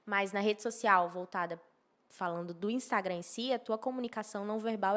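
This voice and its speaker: A woman explaining things conversationally as a podcast guest, emphatically and repeating key phrases. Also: a little high. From Maceió, northeastern Brazil. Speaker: podcast guest, architecture and social media professional.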